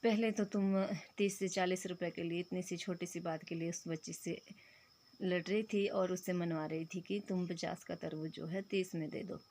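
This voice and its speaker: A hoarse woman voicing a mild middle-aged husband who gently chides his wife, in a lower, quieter voice. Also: steady tone, normal speed, a little hiss or hum, natural voice. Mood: chiding. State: hoarse throat.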